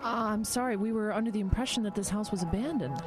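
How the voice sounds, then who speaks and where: A woman's voice: medium pitch, fast, close to an everyday voice. Woman playing an inquisitive investigator over music. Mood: startled, wary. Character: inquisitive, wary female investigator. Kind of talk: audio horror drama acting.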